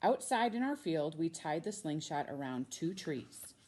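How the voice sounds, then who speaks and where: A woman with an American accent, a teacher reading a storybook aloud to children with expressive, drawn-out emphasis on key words. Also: deep voice. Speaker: middle school science teacher reading a children's book.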